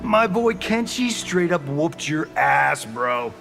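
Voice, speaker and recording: An actor playing a cocky, flippant, vain action movie star in a video game. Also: male voice, fairly high pitch, very animated, slow, creaky, fairly bright tone, soft background music, heavily acted. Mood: cocky teasing.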